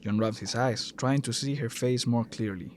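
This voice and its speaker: A man's voice: mid-range voice, moderate pace, darkish tone, slight background noise. A man reading scripted narration for an audio drama.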